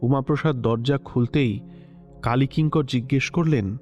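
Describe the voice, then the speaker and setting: A man narrating a classic story in a measured, expressive literary style. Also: medium pitch. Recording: slightly dull lo-fi recording with soft music.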